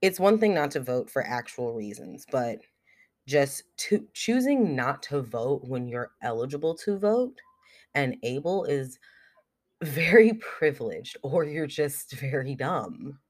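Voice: medium pitch, sing-song, measured pace. A young podcast host with a cold and a cough drop in the mouth, talking frankly in a casual solo monologue with plenty of fillers and swearing. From United States. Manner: frank and blunt, swears freely, many fillers like "like" and "um".

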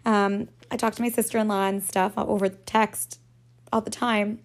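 Chatty woman with a raspy morning voice. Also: mid-range voice, little pitch movement, normal speed. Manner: chatty, rambling, laughs often, swears casually. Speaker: podcast host. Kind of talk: casual solo podcast chat.

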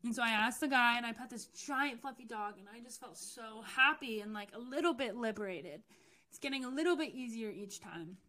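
A woman's voice: on the high side, fairly quick, pushed and bright. A young woman from the US telling personal stories in a chatty, candid way, with frequent fillers and self-corrections. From United States. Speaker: podcast host. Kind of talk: casual personal storytelling.

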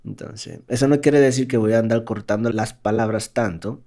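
A man from the Dominican Republic with a softened Dominican Spanish accent, co-hosting a casual podcast with his wife, joking and laughing often. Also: on the low side, steady tone, normal speed. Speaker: podcast co-host. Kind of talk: casual couple chat.

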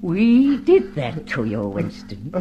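Radio drama villain: cold party interrogator, high-voiced, theatrically menacing, with wide melodic swings. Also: male voice, huge pitch swings, muffled recording, faint music behind, full character voice. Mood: cruel, relentless. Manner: theatrical, deliberate, menacing, preaching in slogans. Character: cold, commanding male party inquisitor, a fanatical torturer. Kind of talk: mid-century radio drama acting.

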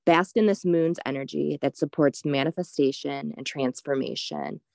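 A woman leading a guided meditation in a soothing, unhurried, gently instructive way. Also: sits low, vocal fry, no room sound, clean studio sound.

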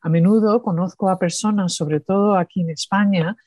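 A woman from the United States with an Andalusian Spanish accent, talking as an interview guest in a reflective way, with pauses and tag questions. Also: medium pitch, fairly even intonation, a little mellow, no room sound, clean studio sound. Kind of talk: conversational interview.

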